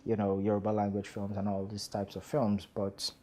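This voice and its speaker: A man, a screenwriter guest chatting in an interview, relaxed and rambling with many fillers. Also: on the low side, normal speed, a little hiss or hum. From Nigeria. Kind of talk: casual interview chat.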